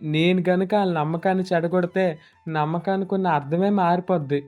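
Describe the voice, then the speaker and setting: A woman voicing a rustic male household servant with colloquial speech in an animated moral story. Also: lowish voice, brisk, lightly acted. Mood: remorseful. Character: male household servant, sly yet guilt-prone, rustic. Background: faint music.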